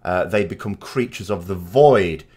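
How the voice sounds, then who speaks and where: A man's voice: sits low, unhurried, darkish tone, slightly rough. A man hosting an informal gaming lore commentary, talking in a discursive way with asides and stressing key words. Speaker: gaming video host.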